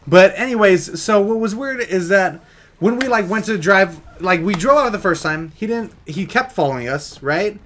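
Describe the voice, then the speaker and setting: A man's voice: sits high, lively intonation, normal speed, a bit bright, a little hiss or hum. A young American man telling a story in a casual, rambling, jokey vlog style. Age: young adult.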